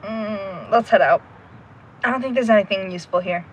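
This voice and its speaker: A woman playing a brisk, wry young woman who takes charge of an amateur sleuth team in an audio drama. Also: medium pitch, muffled recording, slight background noise, close to an everyday voice. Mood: brisk, focused. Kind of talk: audio drama acting, naturalistic.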